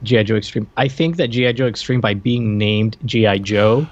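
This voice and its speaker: A man's voice: on the low side, moderate pace. Slightly dull-sounding man in casual chat. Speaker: podcast co-host. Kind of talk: casual chat reviewing old media.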